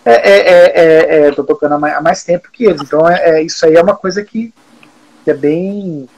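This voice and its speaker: A Brazilian man in his forties, a music teacher, talking in a relaxed, thoughtful way with many fillers and restarts. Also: on the high side, a little fast, poor audio. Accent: Brazilian Portuguese. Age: 40s. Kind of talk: casual interview talk.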